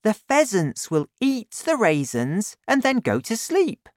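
A man reading an audiobook, voicing an eager, clever young boy with a raised pitch and excited questions. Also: unhurried, no room sound, clean studio sound, exaggerated voice. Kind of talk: audiobook character voice.

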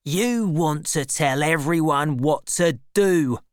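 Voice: medium pitch, slow pace, no room sound, clean studio sound, pitched above the performer's own voice, lightly acted. A reader voicing a big, sulky young workman who complains in a raised, surly voice.

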